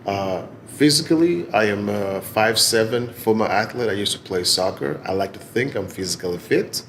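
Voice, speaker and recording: A man in his forties with a Zimbabwean accent, giving measured, articulate answers in an interview. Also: on the low side, lively intonation, fairly bright tone, a little hiss or hum. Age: 40s. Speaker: interviewee, business executive and gallery owner. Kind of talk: oral history interview answers.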